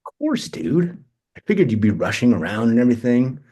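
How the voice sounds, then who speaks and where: A man acting the part of a brash, charming, slangy cousin with a husky voice in a screenplay read-through. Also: mid-range voice, very animated, fairly quick, warm tone. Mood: easygoing. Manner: slangy, cocky, nonchalant. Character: Benji, brash, charming, restless, foul-mouthed male cousin. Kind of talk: informal screenplay table read, slangy naturalistic dialogue.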